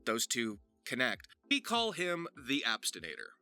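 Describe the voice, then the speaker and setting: A man hosts a casual tutorial in a very bright, forceful voice that moves up and down a lot. He is emphatic and jokey, happy to poke fun at himself, with music playing underneath. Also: mid-range voice, huge pitch swings, measured pace. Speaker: voice actor hosting a tutorial.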